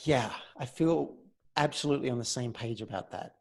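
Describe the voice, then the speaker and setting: A man speaking as a coach in a conversational roleplay, measured and deliberate, often pausing mid-phrase. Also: mid-range voice, creaky, darkish tone. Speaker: host, communication coach.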